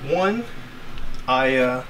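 A man's voice: medium pitch, lively intonation, extremely slow, noisy background. A young man vlogging casually in a small cabin, talking at arm's length to his camera with a rambling, self-deprecating manner. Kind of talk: casual vlog chat. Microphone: camera held at arm's length.

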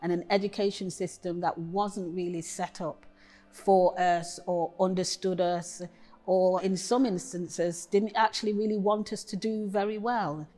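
Candid woman poet, low voice. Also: little pitch movement, measured pace, warm tone. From England. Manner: reflective and candid. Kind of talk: documentary interview. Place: gallery room, indoors.

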